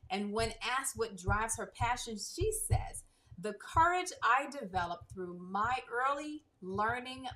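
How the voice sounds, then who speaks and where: Woman host, mid pitch, enthusiastic, reads a bio with asides, video call audio. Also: sharp tone, low-quality recording. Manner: enthusiastic, adds asides while reading. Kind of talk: reading a guest bio and hosting.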